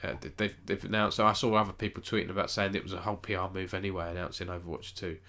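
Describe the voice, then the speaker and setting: A man's voice: deep voice, little pitch movement, very fast, sharp tone, a little hiss or hum. A man with a cold, sounding nasal as he hosts a rambling, self-correcting live-stream esports news roundup and chats with viewers.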